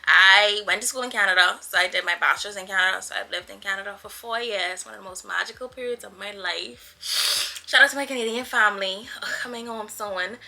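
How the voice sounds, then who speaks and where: A woman's voice: mid-range voice, brisk, vocal fry, pushed and bright, rough recording. A woman of twenty-seven from the Bahamas chatting casually to her viewers as a YouTuber, playful, effusive and slangy. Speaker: YouTuber, vlogger.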